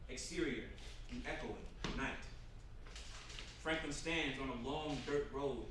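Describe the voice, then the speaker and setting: A man narrating a script dramatically at a staged reading, in a measured, literary way with deliberate pauses. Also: mid-range voice. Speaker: stage directions reader. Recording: very echoey, distant, noisy room recording.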